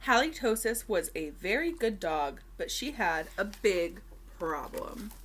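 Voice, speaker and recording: Animated woman reading a children's story. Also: mid-range voice, measured pace. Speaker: teacher reading a story aloud. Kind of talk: expressive children's storybook read-aloud.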